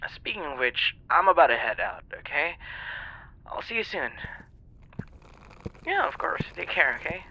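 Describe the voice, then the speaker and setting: A man performs a friendly young love interest in an ASMR roleplay, with a very bright, crackly-edged voice. He talks hesitantly and playfully, dropping into soft breathy asides, and his pitch moves around a lot. The recording is slightly dull. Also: big pitch swings, normal speed, long pauses, exaggerated voice. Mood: warm, intrigued. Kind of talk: ASMR boyfriend roleplay, one-sided conversational acting.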